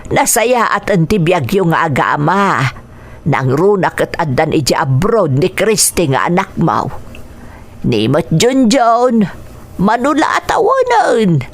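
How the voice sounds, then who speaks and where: A woman's voice: lowish voice, sing-song, slow, full character voice. A woman playing a kindly, sympathetic older neighbour with an Ilocano accent in a radio drama.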